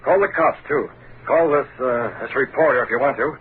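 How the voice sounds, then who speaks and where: A man's voice: medium pitch, close to an everyday voice. Commanding masked hero, played by a man, old radio. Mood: persuasive, firm. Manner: measured, authoritative. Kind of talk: 1930s-40s radio drama acting. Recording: noisy, phone-like old radio broadcast.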